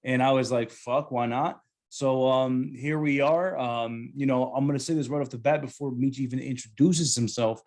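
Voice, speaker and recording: Laid-back podcast host with many ums. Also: male voice, mid-range voice, normal speed. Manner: relaxed, hesitant, many ums. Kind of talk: casual podcast chat.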